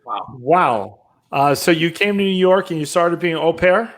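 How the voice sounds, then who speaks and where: A man's voice: on the high side, lively intonation, measured pace, fairly bright tone. A man hosting an interview, upbeat and chatty with plenty of fillers. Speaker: host, real estate business owner.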